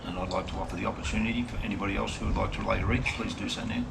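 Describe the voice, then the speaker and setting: An Australian man acting as master of ceremonies at a memorial service, making announcements in a formal, measured way. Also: fairly low pitch, fairly even intonation, creaky. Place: outdoor dawn memorial service. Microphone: action camera far from speaker. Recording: distant, noisy outdoor action-camera recording.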